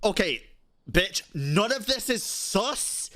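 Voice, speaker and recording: A man reacting casually as he streams a game, slangy, expressive and quick to swear. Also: sits high, slight background noise. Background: faint game music.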